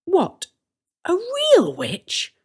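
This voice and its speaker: A woman's voice: very high voice, wildly swooping pitch, drawn-out words, creaky, darkish tone, pitched above the performer's own voice, exaggerated voice. A woman voicing a young girl in a storybook, a polite but bossy and anxious sister with an earnest manner.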